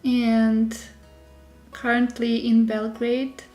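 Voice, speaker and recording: Female live-stream host, Serbian accent, slow casual delivery, thinking aloud, a bit poorly, soft music underneath. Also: fairly high pitch, level tone. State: slightly unwell with a sore throat. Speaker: digital journaling creator hosting a live stream. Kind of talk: casual live tutorial chat.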